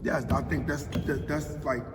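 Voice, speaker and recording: A man's voice: medium pitch, fairly even intonation, measured pace, noisy background. Philly guy, 40s, emphatic street talk, recorded from afar in a big echoey hall. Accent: Philadelphia. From Philadelphia. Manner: street-talking, emphatic, often adds "you know what I mean". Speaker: interviewee. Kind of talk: casual interview answers. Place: large echoey public building. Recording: roomy hall echo, distant mic, faint ambience.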